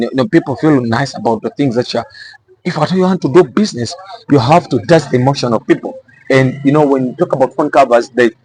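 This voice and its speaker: A man from Zambia with a Zambian accent and a mid-pitched voice talks informally to his viewers. He gives practical business advice as an explainer, often repeating a phrase to drive it home. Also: a little mellow, rough recording. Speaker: vlogger giving small business advice.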